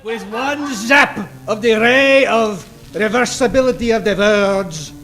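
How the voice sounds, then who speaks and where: A man's voice: high voice, unhurried, a bit bright. An actor playing a gleeful, servile villain's henchman in old-time radio comedy style, theatrical and cackling. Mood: triumphant.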